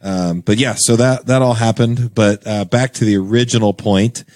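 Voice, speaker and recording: A young man with a fairly low voice tells a story in a loose, easygoing way, stacking up fillers and little laughs. He wanders from point to point like a podcast guest chatting between friends. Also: steady tone, normal speed, darkish tone. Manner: rambling, filler-heavy, laughs through stories. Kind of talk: casual storytelling chat.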